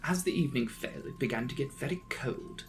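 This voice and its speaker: A man's voice: mid-range voice, animated, brisk. A man giving a dramatic, theatrical audiobook reading of a classic gothic novel, telling it as a storyteller. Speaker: audiobook narrator. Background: background music.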